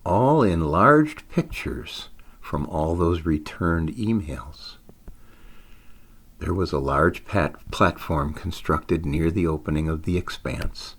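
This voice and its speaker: A man reading his own story aloud in an expressive storytelling style, with deliberate, dramatic phrasing. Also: extremely low pitch, slightly rough.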